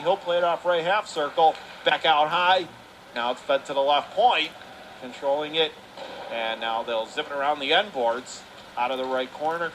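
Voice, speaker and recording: A man calling live ice hockey play-by-play on the radio in excitable, clipped phrases. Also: a little high, a little hiss or hum. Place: commentary position at an indoor ice rink.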